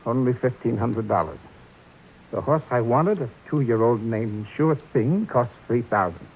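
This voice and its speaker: An actor playing a guilt-ridden, meek older ex-horse trainer in a vintage radio drama, talking earnestly and pleadingly. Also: male voice, a little slow, a little mellow, slight background noise, close to an everyday voice. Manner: earnest, pleading, jumpy pitch. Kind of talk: 1940s radio acting. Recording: dull lo-fi old radio broadcast.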